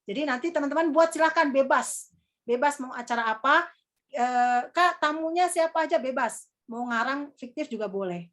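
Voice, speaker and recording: Young woman, high-pitched, easygoing trainer with a rambling, filler-heavy delivery. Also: moderate pace, a bit bright. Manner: chatty, calls herself Kakak, many fillers. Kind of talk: casual workshop teaching.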